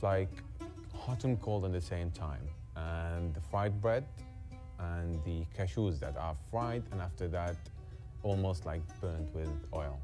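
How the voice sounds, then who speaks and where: Over clear guitar music, a man with an Iraqi accent talks in a lowish voice. He speaks casually, as in an interview, and peppers his sentences with "like". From Iraq. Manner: chatty, uses "like" often. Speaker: restaurant worker. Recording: music playing behind the voice. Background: plucked guitar music.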